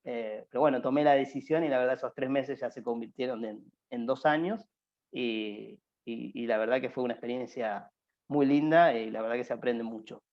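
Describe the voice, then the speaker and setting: A man's voice: mid-range voice, normal speed, muffled recording. A young man with an Argentine accent giving rambling, informal career advice to students as a workshop panelist over a video call, with many fillers and restarts. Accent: Argentine Spanish.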